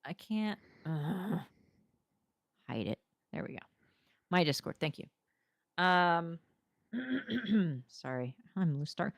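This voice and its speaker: A low-voiced woman with a gravelly, creaky edge rambles on in casual chat, piling on details. Her voice is wearing hoarse from hours of talking. The sound is close and dry, with no room in it. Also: lively intonation, slow pace, long pauses, no echo. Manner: rambling, talkative, self-deprecating. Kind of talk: casual chat while playing a game.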